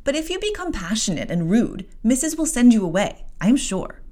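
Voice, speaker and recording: A woman's voice: fairly high pitch, very animated, brisk, warm tone, voice pushed up. A woman doing a stern servant woman, raised and firm. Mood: lecturing. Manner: scolding, firm. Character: stern adult female servant scolding a girl.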